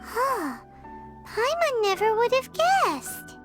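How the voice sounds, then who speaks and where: A woman voicing a tiny, excitable fairy companion girl in a video game, with a squeaky childlike voice and an exclaiming manner. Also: takes it slowly, full character voice. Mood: curious, puzzled. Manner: refers to self in third person, exclaiming. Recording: loud game music behind.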